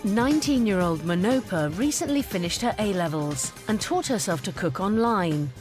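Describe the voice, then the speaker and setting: A woman's voice: mid-range voice, animated, moderate pace, over music. A woman reading scripted narration for a television cooking competition.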